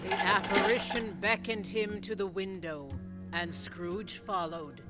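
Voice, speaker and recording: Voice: medium pitch, fairly even intonation, measured pace, over loud music. A narrator telling a story dramatically and expressively in a scripted radio play.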